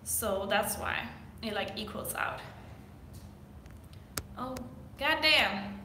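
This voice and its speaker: Young woman, Danish accent, fitness coach Q&A, sharp bright tone, echoey room. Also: mid-range voice, animated, very slow, a little hiss or hum. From Denmark. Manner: chatty, filler-heavy, reads viewer questions aloud. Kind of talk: casual Q&A vlog.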